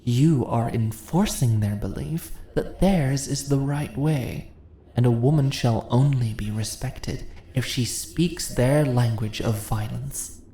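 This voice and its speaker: A woman playing an ancient, seductive goddess in an ASMR fantasy roleplay, speaking in a theatrical, deliberate, condescending yet coaxing manner. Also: a bass voice, dark tone, exaggerated voice. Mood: stern, persuasive. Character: ancient seductive goddess, imperious, teasing, persuasive. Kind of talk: ASMR fantasy roleplay, intimate dramatic monologue. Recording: roomy echo, audible ambient noise.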